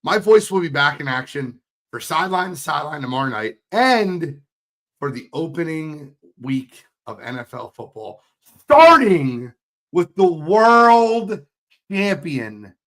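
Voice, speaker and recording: A hoarse man on a sports podcast, his pitch leaping around as he talks. He teases his co-host and sounds fired up, in a relaxed chat style. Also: a little slow. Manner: animated, teasing, fired up.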